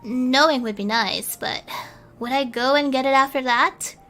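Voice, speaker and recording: A woman voicing a calm, knowing female archiver who speaks softly and gently teases. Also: high-pitched, normal speed, faint music behind. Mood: reassuring.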